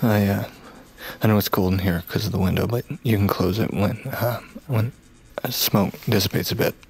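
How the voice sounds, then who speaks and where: A man's voice: on the low side, fairly even intonation, normal speed, vocal fry, a little mellow, rough recording. An actor playing a troubled, weary man in his late twenties in an audio drama, guarded and stammering. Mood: subdued, awkward. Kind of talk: naturalistic audio drama acting. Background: music.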